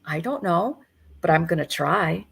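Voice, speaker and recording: A woman in her fifties, a coach, giving a gentle, reflective motivational talk over a video call. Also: lowish voice, animated, measured pace, vocal fry, breathy edge. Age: 50s.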